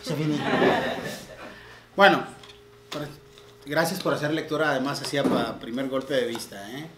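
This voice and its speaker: A man's voice: medium pitch, steady tone, moderate pace, background noise. Man leading a literary talk, echoey room. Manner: reads translated poems aloud with raised, declaiming voice. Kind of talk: literary talk with poetry reading. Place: room in a house.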